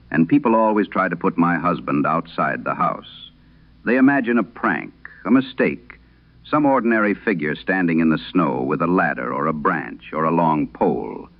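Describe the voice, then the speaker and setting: A sober farm mother's haunted account, read by a man in a very low, expressive voice. The telling is earnest and precise, in vintage radio horror style, with muffled broadcast sound. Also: full character voice. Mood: earnest, insistent. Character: sober farm mother recounting a frightening night.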